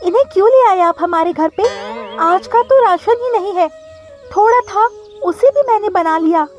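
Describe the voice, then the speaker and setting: A woman's voice: very high-pitched, moderate pace, a little mellow, soft background music, voice pushed up. A woman voicing a practical, anxious pigeon wife with a fretful manner in a cartoon story. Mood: worried, complaining.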